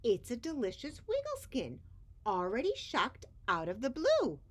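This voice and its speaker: Storybook monster, played by a woman: greedy, gloating, slow and savoring, with a sing-song lilt. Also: on the high side, wildly swooping pitch, voice pushed up, heavily acted. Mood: delighted, hungry. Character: hideous smelly giant scratcher monster, gleefully hungry.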